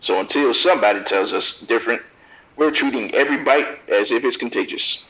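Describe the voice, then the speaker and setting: A man's voice: medium pitch, a little fast, fairly bright tone, close to an everyday voice. An actor playing an overwhelmed, grave policeman, blunt and commanding in a horror audio drama, heard over a dull phone line. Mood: stern, grave. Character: overwhelmed, grim, firm male police officer. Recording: dull, band-limited phone-line sound.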